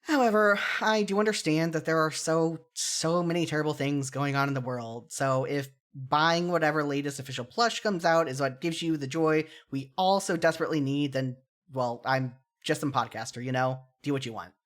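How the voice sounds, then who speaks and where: A podcast host with a medium-pitched voice delivers solo commentary. The delivery is earnest and opinionated, sounding partly scripted but loosened by informal, self-deprecating asides. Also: moderate pace, hardly any pauses, a bit bright.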